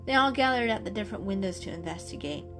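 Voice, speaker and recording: A woman's voice: medium pitch, lively intonation, quick pace, over music. A woman giving a dramatic reading of a children's story as the narrator.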